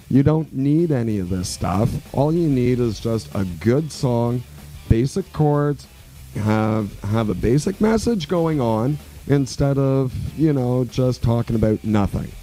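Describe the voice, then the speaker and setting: A man in his forties from Quebec, a guest musician telling stories in a radio interview, loose and rambling with lots of fillers. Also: medium pitch. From Quebec, Canada. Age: 40s. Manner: relaxed, rambling storyteller with many fillers.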